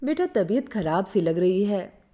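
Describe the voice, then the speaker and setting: Woman playing a doting, homely mother, animated. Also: medium pitch, moderate pace. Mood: complaining, unwell. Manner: homely, familiar, colloquial. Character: doting, simple village mother talking to her son.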